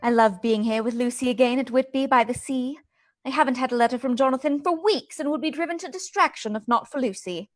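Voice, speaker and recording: A woman's voice: sits high, fairly quick, no echo, faint music behind, lightly acted. An actress playing a sensible, dry-witted and studious young Victorian woman in a radio drama, prim and wry. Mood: composed.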